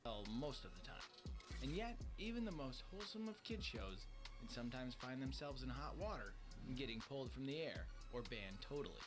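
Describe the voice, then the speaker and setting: A man narrates a countdown list in a scripted, tongue-in-cheek way. His pitch moves up and down a lot, and clear background music plays under him. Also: fairly quick. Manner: lively, tongue-in-cheek delivery.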